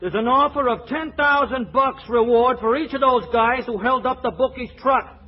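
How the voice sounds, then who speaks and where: Small-time henchman, excitable, extremely high pitch, 1940s radio comedy, dull recording. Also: normal speed. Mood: excited.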